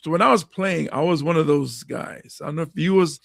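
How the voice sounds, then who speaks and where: A middle-aged man from Hawaii with a hoarse, raspy voice, hosting a podcast chat in a lively way, laughing often and acting out quotes. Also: on the high side, fairly quick, no room sound. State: hoarse from coaching. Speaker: podcast host and comedian.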